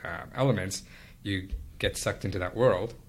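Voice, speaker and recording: A man who grew up in India, Venezuela and Canada, reflecting on his life as an interview guest in a measured, explanatory way. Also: deep voice, a little hiss or hum. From India and Venezuela, then Canada from age thirteen. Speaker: podcast guest, business executive. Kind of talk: conversational interview, reflective personal storytelling.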